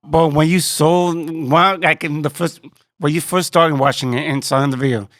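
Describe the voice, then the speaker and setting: A man's voice: a little high, steady tone, normal speed, fairly bright tone, dry recording, clean studio sound. Loose-talking podcast co-host, man. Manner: loose, run-on sentences, short backchannel replies. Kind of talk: casual interview chat. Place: podcast studio.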